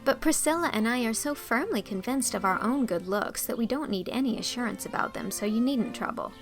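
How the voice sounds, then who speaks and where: A spirited young college girl, voiced by a woman narrator in an audiobook drama, quick and proud in her retorts, with a brightish, higher voice. Faint music sits behind her. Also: lively intonation. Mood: teasing.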